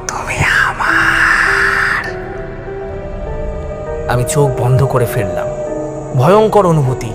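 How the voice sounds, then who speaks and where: A man narrating a first-person horror story in a suspenseful, theatrical style. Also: medium pitch. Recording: music behind the voice. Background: background music.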